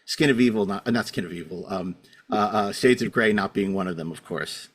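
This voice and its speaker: Low-voiced man, podcast host. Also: brisk, slightly rough. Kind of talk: casual podcast interviewing and chat.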